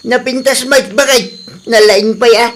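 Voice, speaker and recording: A man's voice: very high voice, unhurried, a bit bright, slight background noise. Man acting dry, chiding old Filipino grandfather. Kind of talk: radio comedy drama acting.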